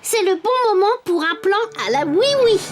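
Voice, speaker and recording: Childlike high voice, earnest little cartoon puppet boy, eager, kindly, music behind. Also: expressive, normal speed. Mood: determined. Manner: earnest, exclaiming, reassuring. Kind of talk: French children's cartoon dubbing.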